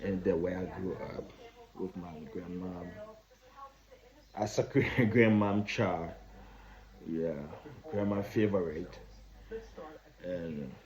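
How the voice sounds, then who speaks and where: A man in his thirties with a Liberian accent, telling his own story in a halting way, often repeating and restarting phrases. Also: deep voice, fairly even intonation, a little mellow. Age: 30s. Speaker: vlogger. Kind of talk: personal storytelling. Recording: dull lo-fi recording.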